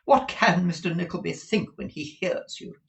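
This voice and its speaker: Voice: mid-range voice, normal speed, vocal fry, voice pushed up, natural voice. A reader voicing an imperious, proper woman who runs a business, formal and emphatic in manner, in a storybook. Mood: stern. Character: imperious businesswoman, brisk, proper shop proprietress wife.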